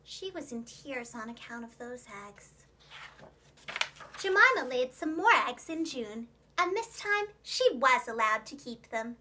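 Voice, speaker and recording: A woman narrating a children's storybook in an expressive, clearly enunciated storyteller's manner for young listeners. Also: high voice, a little slow, slight background noise, poor audio. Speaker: preschool teacher and children's storyteller.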